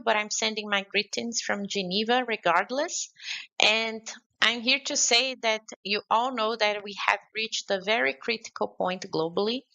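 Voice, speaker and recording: Woman, Brazilian accent, conference video address, bright forceful tone, slightly dull lo-fi sound. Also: medium pitch. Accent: Brazilian Portuguese. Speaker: conference speaker. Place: remote, pre-recorded video.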